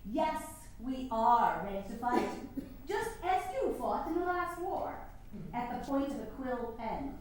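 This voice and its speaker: A distant, very echoey stage reading. An actress plays an eloquent, sardonic campaigner for women's rights, high-voiced, formal and rhetorical, with lively swings in pitch. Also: female voice, measured pace. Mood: oratorical, sardonic. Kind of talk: staged play reading, oratorical. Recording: echoey room, distant mic, faint noise.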